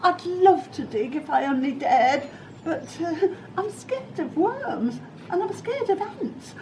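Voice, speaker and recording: A woman voicing a timid, easily frightened male cook in an outdoor storybook performance, stammering and squeaking with panic. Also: big pitch swings, takes it slowly, noisy background. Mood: scared. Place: back garden outdoors.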